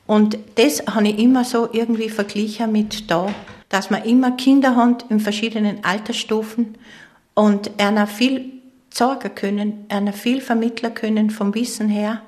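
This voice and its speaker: A woman's voice: mid-range voice, fairly even intonation, moderate pace, a little mellow. An older woman from East Tyrol with a Vorarlberg Austrian accent, reminiscing conversationally as an interview guest. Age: 60s or older.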